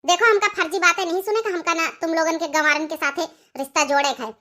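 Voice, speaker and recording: Woman playing a haughty, very shrill young wife, Awadhi accent. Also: fairly even intonation, fast, bright, forceful tone. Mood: cold contempt. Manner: haughty, curt, dismissive. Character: haughty young teacher wife, cold, contemptuous. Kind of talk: comic village cartoon dialogue.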